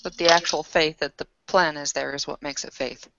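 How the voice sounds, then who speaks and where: Lower-voiced woman narrating her game character. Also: fairly even intonation, moderate pace, gravelly, background noise. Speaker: player in a roleplaying game. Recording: dull lo-fi sound.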